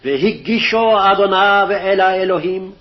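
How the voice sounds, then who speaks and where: A man's voice: high-pitched, very fast. A man formally reciting scripture phrase by phrase, on a dull, lo-fi archival recording. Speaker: scripture reader. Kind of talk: scripted Hebrew Bible reading.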